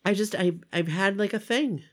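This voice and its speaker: A hoarse, congested woman from Maine co-hosting a casual podcast, chatty and quick to laugh. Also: mid-range voice. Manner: chatty storyteller, laughs often. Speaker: podcast co-host. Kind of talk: casual chat.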